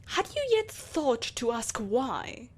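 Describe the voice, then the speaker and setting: Theatrical evil empress, wildly swooping voice. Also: female voice, on the high side, big pitch swings, heavily acted. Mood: coaxing, condescending. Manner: imperious, theatrical, savouring pauses, mockingly sweet. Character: malevolent, possessive tyrant empress, theatrical and menacing. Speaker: voice actor in an audio roleplay. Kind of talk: dramatic audio roleplay monologue.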